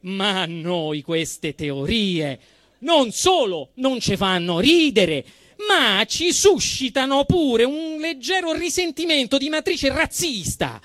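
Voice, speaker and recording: A man with a Roman Italian accent performing a theatrical comic monologue in an emphatic, mock-dramatic way, drawing out phrases and then rushing. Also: very high-pitched, very animated, fairly bright tone, no echo, clean studio sound.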